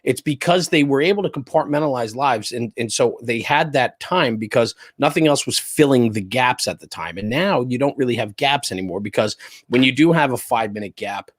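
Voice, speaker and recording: Middle-aged American male interview guest, medium pitch, dry sound, emphatic and opinionated yet casual. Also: animated, fairly quick, no echo. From New Jersey, United States. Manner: emphatic, repeats points, checks with "right?". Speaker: interview guest, fire service spokesperson and former chief.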